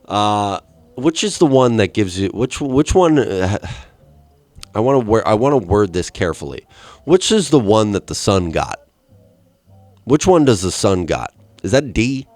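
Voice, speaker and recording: A man in his twenties hosting a podcast, ranting in a rambling, profane and deadpan way. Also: lowish voice, a little slow, dry recording, soft background music. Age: 20s. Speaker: podcast host. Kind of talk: solo comedic rant.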